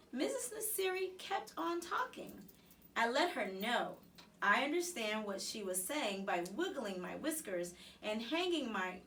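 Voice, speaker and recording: A woman reading a children's storybook aloud in an expressive, narrated style. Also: a little high, far from the mic. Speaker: storybook reader.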